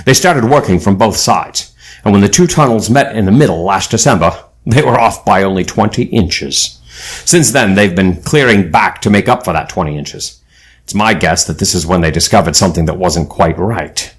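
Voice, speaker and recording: An actor playing a grave, knowledgeable team leader in an audio adventure drama, with a measured, authoritative way of explaining. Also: male voice, sits low, animated. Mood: explanatory, grave. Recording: faint background noise.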